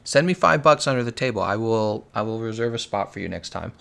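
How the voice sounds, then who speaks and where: A man's voice: on the low side, lively intonation, fairly quick, creaky, a bit bright. A young man with an American accent, chatting casually on a game stream, answering viewers and laughing often. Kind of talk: casual live-stream commentary.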